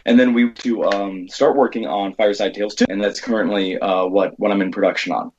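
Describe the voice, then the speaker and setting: A middle-aged American man talks casually as an interview guest. His voice is on the low side. The audio is a bit dull and band-limited. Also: fairly even intonation, brisk, a little mellow. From Arizona, United States. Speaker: interview guest, independent filmmaker.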